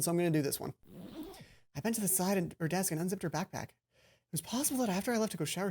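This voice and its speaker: A woman let's player reading a visual novel aloud expressively, now and then stumbling and repeating a line. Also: fairly low pitch. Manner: expressive, stumbles and rereads lines.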